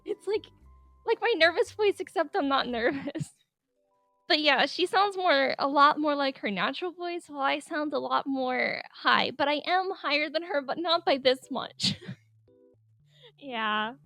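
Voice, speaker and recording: A woman casually narrating her drawing process over a speedpaint, chatty and giggly, rambling with lots of filler words, speaking higher than her usual voice. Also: expressive, unhurried, no room sound. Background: soft background music.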